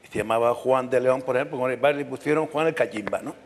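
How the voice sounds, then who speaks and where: A man's voice: medium pitch, fast. A man telling a casual anecdote, often checking in with short tag questions. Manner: peppers his story with tag questions. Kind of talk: casual storytelling. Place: tavern with seated audience. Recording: reverberant hall, background noise.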